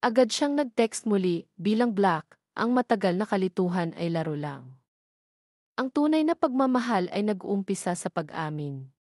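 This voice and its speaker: A woman's voice: medium pitch, animated, normal speed, a little mellow, no echo. Woman narrating, close and dry. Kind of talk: scripted story narration, reading dialogue herself.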